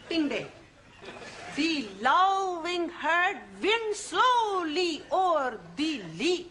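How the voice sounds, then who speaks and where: An actress playing an earnest woman student in a sitcom who recites a poem in halting, broken English with slips. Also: high-pitched, bright, forceful tone, a little hiss or hum, full character voice. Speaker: adult language student. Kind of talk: 1970s studio sitcom acting.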